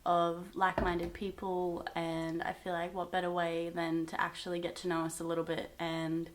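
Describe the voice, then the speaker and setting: A woman's voice: on the low side, completely flat delivery, moderate pace. A woman in her twenties with an Australian accent, chatting casually and telling personal stories for a vlog. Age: 20s. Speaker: vlogger, co-host of a couple's channel. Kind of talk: casual chat, personal storytelling.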